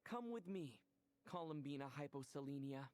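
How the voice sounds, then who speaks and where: A woman voicing a kind, supportive young traveler in a video game, with an earnest, warm delivery. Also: low voice, expressive, moderate pace, background noise.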